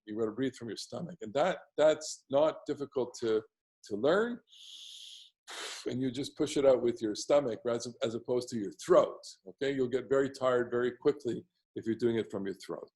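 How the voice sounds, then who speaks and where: Baritone man, rambling home class teacher, mid pitch, loose delivery. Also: moderate pace, a little mellow. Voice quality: baritone bass voice. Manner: rambling, with many restarts and asides. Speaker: teacher of synagogue prayer melodies. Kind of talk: casual online class.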